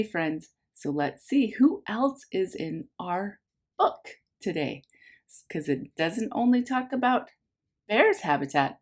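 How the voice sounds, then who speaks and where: A woman reads a storybook as a teacher would to very young children. Her pitch swings widely in a lively sing-song, and she takes her time with slow, simple phrases and questions to her listeners. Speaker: preschool teacher reading a picture book aloud.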